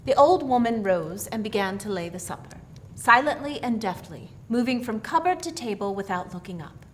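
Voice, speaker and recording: A woman reading a story aloud in expressive narration, with careful dramatic phrasing. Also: fairly high pitch, normal speed, slight background noise. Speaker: story reader.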